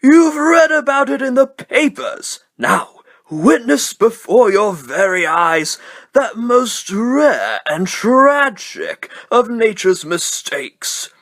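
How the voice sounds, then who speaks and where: Mad clown supervillain: slow, sardonic showman delivery, huge pitch swings, cartoon menace, dry close sound. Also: sing-song, fairly bright tone, no room sound, heavily acted. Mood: mocking showman.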